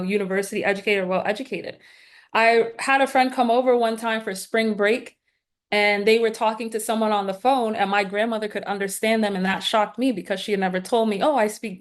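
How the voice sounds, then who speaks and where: A woman from Guyana speaks as a guest on a call, mid-pitched and measured. She explains her points in an earnest, steady way. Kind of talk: lecture-style answer on a video call.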